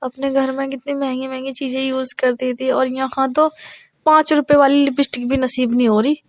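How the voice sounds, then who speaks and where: A very high, closely recorded female voice with little room sound. A woman plays a young wife who is resentful and full of reproach, nagging in everyday conversational Hindi-film-free domestic drama. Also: level tone, normal speed, no room sound, close to an everyday voice. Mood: bitter complaining. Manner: complaining, reproachful. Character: resentful young eloped wife, nagging her jobless husband. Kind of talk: improvised-sounding domestic dialogue in an audio story.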